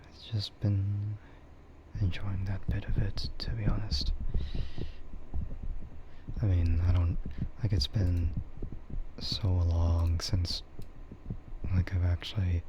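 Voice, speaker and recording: A man streaming in a casual, rambling ASMR chat, speaking softly and hesitantly in a meandering way. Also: low-pitched. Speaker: VTuber streamer. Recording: dull lo-fi microphone with faint noise.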